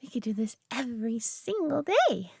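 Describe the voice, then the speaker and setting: A woman voicing a possessive, doting alien who coos over her pet human in an intimate roleplay audio drama, coddling and softly condescending. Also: fairly high pitch, very animated, moderate pace, vocal fry, husky, no room sound, clean studio sound, full character voice. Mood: soothing, possessive.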